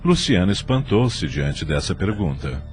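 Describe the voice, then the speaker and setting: A man's voice: deep voice, sing-song, measured pace, a little mellow, soft background music. A man with a Brazilian accent reading dramatic scripted narration for an audio novel in a solemn, literary, sentimental manner.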